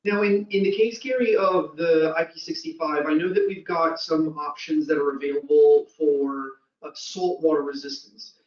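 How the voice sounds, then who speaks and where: A man's voice: a little high, fairly even intonation, moderate pace, few pauses. A man hosting a webinar, putting conversational questions to the presenter, on distant, roomy, dull audio. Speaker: webinar host.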